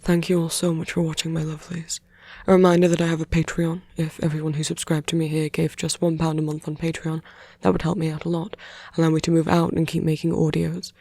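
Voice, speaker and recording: An audio roleplay creator speaking plainly in an even, scripted outro to listeners. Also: medium pitch, fast talker, few pauses, dry recording. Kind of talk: scripted outro with thanks to supporters.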